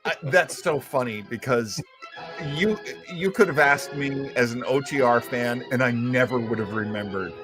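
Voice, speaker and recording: A man's voice: mid-range voice, moderate pace, a bit bright, soft background music. A man hosting a casual chat about old-time radio, an enthusiastic and chatty explainer. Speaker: host.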